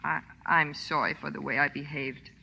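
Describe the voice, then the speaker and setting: An actress playing a proud, frightened young wife in 1940s radio drama, earnest and halting. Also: female voice, sits low, level tone, vocal fry, bright, forceful tone, breathy edge, heavily acted. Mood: contrite, earnest. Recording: dull, band-limited old radio broadcast.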